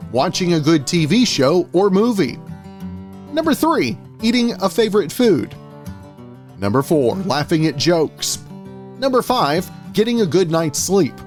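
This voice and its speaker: A man's voice: a little high, darkish tone. A man hosting a radio talk show, asking questions in a friendly, folksy way and often restarting his sentences. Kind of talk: casual radio interview.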